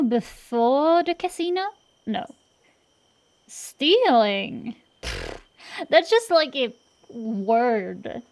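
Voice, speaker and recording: Woman playing a high-voiced, cocky tomboy dragon girl. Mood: sheepish, scheming. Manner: sassy, slangy, defensive, blustering. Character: cocky tsundere tomboy dragon-girl sorceress, young gambler. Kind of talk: acted roleplay ASMR, bantering monologue to the listener.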